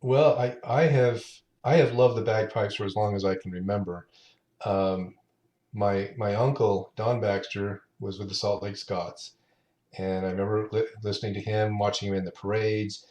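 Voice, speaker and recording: A man in his late fifties chatting as a podcast guest, talking unhurriedly with halting restarts. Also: on the low side, fairly even intonation, darkish tone, muffled recording. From Utah. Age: 50s. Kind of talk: casual interview chat. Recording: roomy echo.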